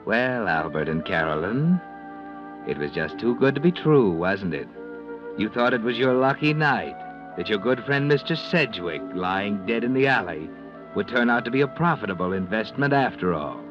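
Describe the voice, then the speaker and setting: Man plays sinister knowing narrator: low-pitched, taunting, old-time mystery radio, music underneath. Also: animated, normal speed, close to an everyday voice. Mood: mocking. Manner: ominous, taunting second-person address. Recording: muffled vintage radio recording.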